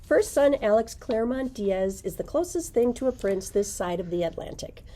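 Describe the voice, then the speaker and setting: A woman's voice: a little high, expressive, normal speed, mellow, slight background noise. A middle-aged woman, a librarian, recommending books and reading their blurbs aloud to a camera in a library. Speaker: librarian presenting book picks.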